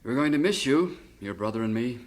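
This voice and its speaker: A man playing a fond, wry middle-aged father in a vintage radio drama, speaking gently and philosophically. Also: mid-range voice, animated, a little fast, lightly acted. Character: fond widowed father, stock investor.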